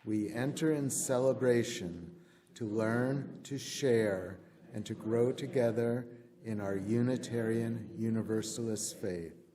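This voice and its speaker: A man leading a congregational recitation in a measured, solemn way as a worship associate. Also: mid-range voice, a crowd in the background. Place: church sanctuary at pulpit. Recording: long reverberant church hall.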